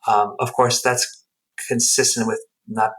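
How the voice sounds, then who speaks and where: A man's voice: mid-range voice, flat delivery, unhurried, fairly bright tone, low-quality recording. A man who grew up speaking Spanish and English, telling his story as a podcast guest in a reflective, rambling way with many restarts. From United States. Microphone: headset earphones.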